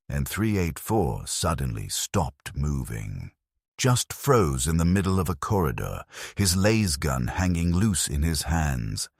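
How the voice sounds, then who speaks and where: A man narrating a dark sci-fi story as a grim, hardened penal legion soldier, solemn and measured in delivery. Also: rumbling low, husky, no echo, studio quality, heavily acted.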